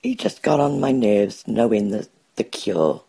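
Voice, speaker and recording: A woman's voice: rumbling low, lively intonation, measured pace, creaky, warm tone, slightly rough, poor audio. A woman from the UK leaving a blunt, playful voicemail for a podcast. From United Kingdom. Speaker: voicemail caller. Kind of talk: recorded voicemail review.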